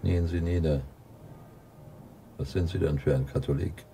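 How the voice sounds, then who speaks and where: Brusque priest in a radio play, really deep and croaky, bit of noise. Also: male voice, steady tone, a little slow, dark tone, exaggerated voice. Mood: stern, impatient. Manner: brusque, reproving. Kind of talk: radio drama dialogue.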